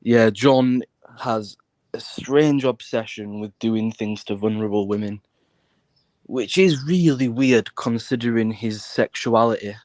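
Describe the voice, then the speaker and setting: A British man talking casually and bluntly, with plenty of swearing. His pitch sits in the middle for a man, and the sound is dry with no room on it. He presses his points insistently. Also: a little slow, a little mellow, no echo. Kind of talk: casual chat.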